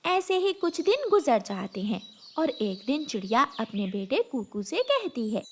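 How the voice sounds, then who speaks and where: A woman's voice: sits high, very animated, unhurried, slight background noise. A woman narrating a scripted cartoon story as the storyteller.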